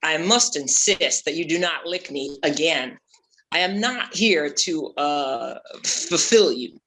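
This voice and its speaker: Woman playing a bright-voiced, pompous male sea captain. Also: sits low, animated, takes it slowly, full character voice. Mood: grave, pompous. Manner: formal, pompous phrasing. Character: gruff, formal old-fashioned male sea captain. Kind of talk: theatrical script table read.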